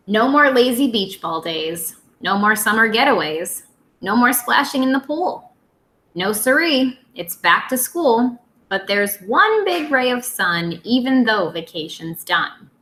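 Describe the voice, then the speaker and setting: A woman teacher reading a picture book aloud to young children in an expressive, simple way. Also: mid-range voice, slow, echoey room, rough recording.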